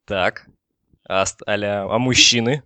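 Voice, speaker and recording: A man giving an informal technical explanation as an expert, in a halting way with many false starts. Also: fairly low pitch, fairly even intonation, sharp tone, clear, resonant tone, no room sound. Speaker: telecom engineer explaining as guest expert.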